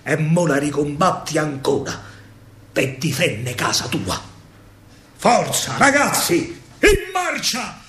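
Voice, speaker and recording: A man's voice: on the high side, big pitch swings, slow pace, pauses often, bright, forceful tone, echoey room, heavily acted. A man playing a firm, rousing army captain with a Roman accent, exhorting his soldiers in a stage monologue. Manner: commanding, exhorting.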